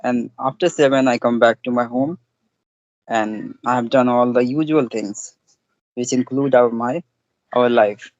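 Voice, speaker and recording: A man's voice: medium pitch, fairly even intonation, no echo. Indian man on a dull, dry line. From Bihar, India. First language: Hindi. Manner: careful, non-standard grammar, halting. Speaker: schoolteacher. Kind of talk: casual conversation practice.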